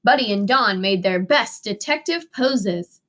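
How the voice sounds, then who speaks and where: A woman's voice: medium pitch, animated, a little slow, a bit bright. A woman teacher reading a children's story aloud expressively, with dramatic emphasis for young listeners.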